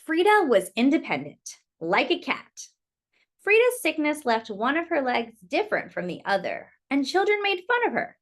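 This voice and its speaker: High, very lively woman reading to children. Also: normal speed. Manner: expressive, addresses young listeners as friends. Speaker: storyteller reading a picture book to children. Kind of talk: children's read-aloud with short asides.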